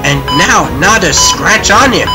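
A man's voice: high-pitched, animated, a little slow, loud music behind the voice, pitched above the performer's own voice. A man voicing an astonished, clinical hospital doctor in a comic dub, declaiming in clipped phrases. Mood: amazed.

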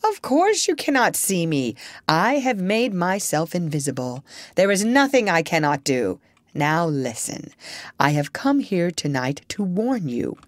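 A parrot passing as a grand male doctor in a children's storybook, performed by a woman. The delivery is pompous, boastful and deliberate, with threatening weight and a wide, expressive pitch range. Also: no echo, studio quality. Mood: pompous, menacing. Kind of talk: children's storybook character reading.

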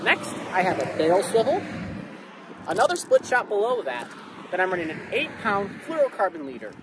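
A young man hosting a casual fishing vlog outdoors by a river, explaining his gear and cheering loudly when fish bite, recorded on a body-worn action camera. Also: sits high, fairly quick. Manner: excited outbursts when fish are hooked. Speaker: fishing video host. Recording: outdoor wind and river noise.

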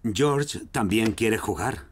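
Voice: medium pitch, animated, slow, loud music behind the voice. A narrator telling a scripted children's cartoon story with a Castilian Spanish accent.

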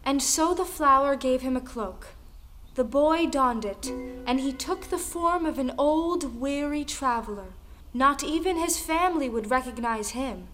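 A woman narrating a scripted folktale in an expressive, dramatic way. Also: high voice, a little slow. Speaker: storyteller. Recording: music under the voice. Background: music.

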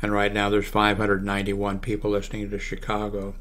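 An American man in his seventies giving a casual, unscripted computer walkthrough, haltingly thinking aloud. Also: on the low side, monotone, fairly bright tone. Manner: halting, thinking aloud while clicking through pages. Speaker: video blogger giving a how-to demonstration. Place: small room at home, indoors. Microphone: large desktop microphone close to the mouth.

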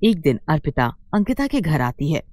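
A woman reading scripted narration for an animated moral story. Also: low-pitched, normal speed, dry recording.

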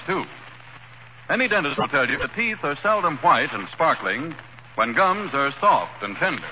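A muffled old radio broadcast with faint noise. A male announcer speaks in a high, formal, polished 1930s delivery.

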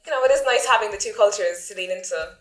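A woman's voice: mid-range voice, fast. A young woman talks through her thoughts, trailing through "like" fillers. Her voice sits mid-height. The studio around her rings a little. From Ireland, County Cork. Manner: reflective, many "like" fillers. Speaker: interviewee. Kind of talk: casual discussion. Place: studio with plain backdrop. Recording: roomy studio echo.

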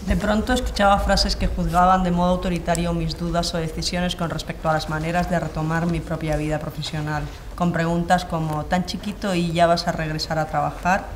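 Woman reading aloud in a flat tone. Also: fairly low pitch, fairly quick, few pauses, slight background noise. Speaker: reader in a shared reading group.

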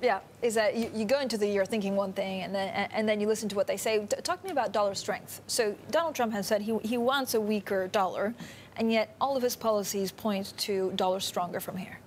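A young adult woman anchors a studio interview with an even, mid-pitched voice. She asks direct, probing questions. There is faint room ambience behind her lapel mic. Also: fairly quick, a little hiss or hum. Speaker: TV news anchor.

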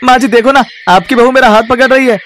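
A man's voice: very high-pitched, fairly even intonation, fast, fairly bright tone, a little hiss or hum. A man voicing a sly, leering milkman with a brazen wheedling manner in a cartoon story.

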